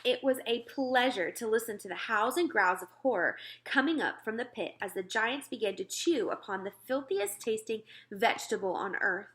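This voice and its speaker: A woman reading a children's book aloud as scripted narration, expressive and clearly phrased. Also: a little high, moderate pace, hardly any pauses. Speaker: storybook reader.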